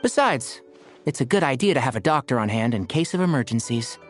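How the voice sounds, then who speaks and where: A man voicing a gentle, composed young male doctor with dry wit in a video game, polite and measured. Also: mid-range voice, sing-song, natural voice. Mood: wry. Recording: music playing behind the voice.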